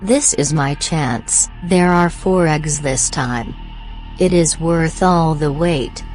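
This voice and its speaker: A man's voice: on the high side, takes it slowly, faint music behind, voice pushed up, rough recording. A man voicing a sly wicked female snake. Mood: scheming. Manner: sly, scheming. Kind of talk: storybook narration.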